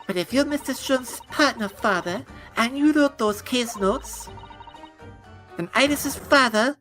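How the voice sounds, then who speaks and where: A woman's voice: fairly high pitch, a little slow, fairly bright tone, faint music behind. A woman voicing an indignant young assistant. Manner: indignant, accusing. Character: prim young Japanese woman, a detective's assistant. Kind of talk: fan dub of a game.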